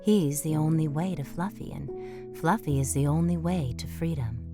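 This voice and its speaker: A woman's voice: low voice, normal speed, over music, close to an everyday voice. A woman voicing a tender, patient mother mouse in an audio drama, soothing and wistfully devout.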